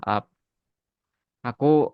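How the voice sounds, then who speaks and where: A man's voice: extremely slow, no room sound, muffled recording. An Indonesian man hosting a casual podcast chat, dropping English phrases into his speech. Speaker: podcast host and content creator.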